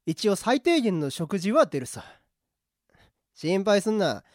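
Man as mocking jail guard, brash, slangy, very lively intonation, dry sound, radio drama. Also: high voice, slow pace, no echo, heavily acted. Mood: mocking, threatening. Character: rough, mocking male prison guard, secretly soft-hearted. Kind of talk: amateur radio drama acting.

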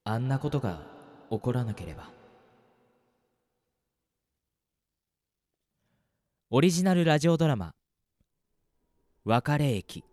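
A man's voice: medium pitch, big pitch swings, normal speed, darkish tone, dry recording, studio quality, close to an everyday voice. A man playing a friendly, concerned 22-year-old male university student in an amateur radio drama. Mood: reflective narration.

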